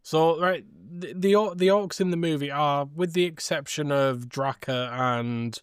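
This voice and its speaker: A man co-hosting a podcast, chatting casually and giving easygoing, measured opinions about films. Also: fairly high pitch, clear, resonant tone, no echo, clean studio sound. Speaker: podcast co-host. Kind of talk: casual movie discussion.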